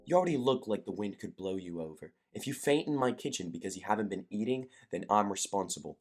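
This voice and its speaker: A man playing a gruff, bossy chef with a grudgingly caring streak, brisk and commanding, in an audio drama. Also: lowish voice, expressive. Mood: stern, caring.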